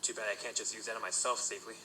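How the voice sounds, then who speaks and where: A man playing a brisk young pony knight apprentice. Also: fairly low pitch, fairly even intonation, husky, exaggerated voice. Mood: calm. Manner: patient, explanatory, gently self-correcting. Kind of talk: fan audio drama acting. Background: music.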